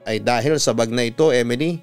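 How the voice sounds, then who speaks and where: A man's voice: mid-range voice, fairly quick, clean tone, faint music behind, natural voice. A Filipino man voicing a concerned young woman who scolds her friend in an exclaiming, reproachful manner, as part of a dramatic story.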